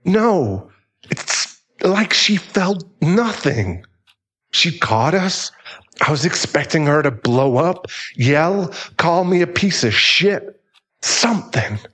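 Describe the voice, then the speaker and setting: A man playing a bitter, guilt-ridden divorced husband in an audio roleplay, halting and emotional, with some swearing. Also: a little high, very animated, a bit bright, pitched above the performer's own voice, full character voice. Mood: agitated, hurt.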